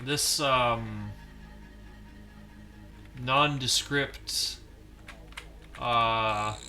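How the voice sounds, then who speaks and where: A man's voice: medium pitch, drawn-out words, bright, forceful tone, faint music behind. A man casually commenting as he plays a game, wry and self-deprecating, with half-mumbled asides. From not from Maine. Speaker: gaming streamer.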